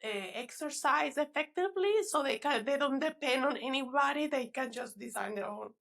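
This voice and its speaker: A woman's voice: sits high, a little fast, gravelly, a bit bright. A woman from Venezuela with a Venezuelan Spanish accent, a native Spanish speaker, chatting as a guest about her fitness coaching. She talks in a chatty way, laughs often and restarts words. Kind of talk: casual interview chat.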